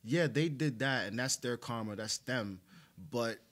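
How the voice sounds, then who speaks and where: A man's voice: mid-range voice, unhurried, a bit bright. A man from the United States speaking casually and reflectively as an interview guest, with slangy phrasing and lots of "like" and "right?". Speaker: interview guest, media and marketing executive.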